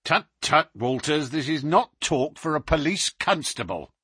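Man doing a stern, brisk police inspector. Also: a little high, sing-song, slightly rough, no echo, clean studio sound, full character voice. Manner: sharp, clipped questioning.

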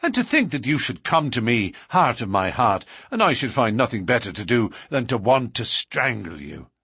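A man's voice: mid-range voice, big pitch swings, normal speed, fairly bright tone, breathy edge, no room sound, heavily acted. A man voicing a secretive, passionate young lover with a lilt, speaking earnestly and coaxingly in an audiobook. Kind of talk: audiobook character reading.

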